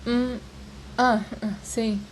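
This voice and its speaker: A woman's voice: on the high side, flat delivery, a little hiss or hum, pitched above the performer's own voice. A woman with a Brazilian accent voicing a nervous, earnest, bookish schoolboy in a story, with hesitant speech. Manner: hesitant, halting.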